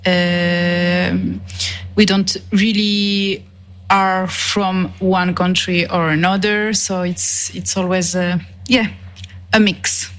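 Halting, slow-speaking woman from Switzerland. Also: lowish voice, flat delivery, a bit bright. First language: not English. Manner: halting, repeats and rephrases as she searches for words. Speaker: interview guest, musician. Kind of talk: reflective conversational interview.

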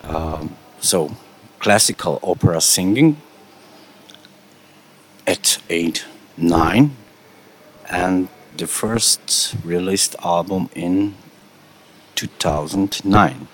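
A man interviewing a guest for a radio station, speaking English as a second language after an intro in his native Slovenian, asking his questions haltingly. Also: sits low.

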